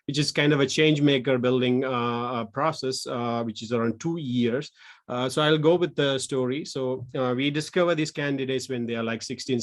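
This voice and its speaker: A man in his thirties from Sri Lanka, a social entrepreneur giving an online presentation, speaking haltingly with many restarts. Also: mid-range voice.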